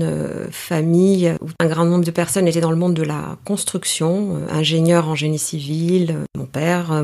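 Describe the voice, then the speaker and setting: A middle-aged woman with a lowish voice talks through her career in a podcast interview. She is calm and measured, with many small hesitations. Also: gravelly. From France. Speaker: coach, guest.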